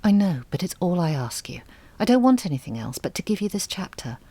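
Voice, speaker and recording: An earnest woman writer in an audio drama. Her voice sits low and stays measured. She sounds humble about herself yet quietly determined, with a pleading edge. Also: very animated, husky, dry recording. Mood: earnest, resolute.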